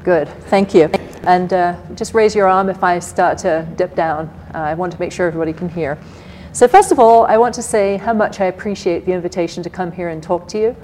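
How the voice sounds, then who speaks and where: A soft-spoken woman with an English accent giving an academic lecture at a podium, measured and full of fillers. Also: on the low side, a little hiss or hum, rough recording. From England. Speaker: guest lecturer. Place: lecture hall.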